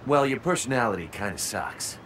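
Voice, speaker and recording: An actor playing a brash, foul-mouthed assassin in a video game, blunt and intense, with a gravelly voice. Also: male voice, mid-range voice, expressive, brisk, a bit bright, in a large hall, slight background noise, close to an everyday voice. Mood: gruff, deadpan. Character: brash, foul-mouthed male assassin, cynical yet idealistic. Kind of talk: video game cutscene acting.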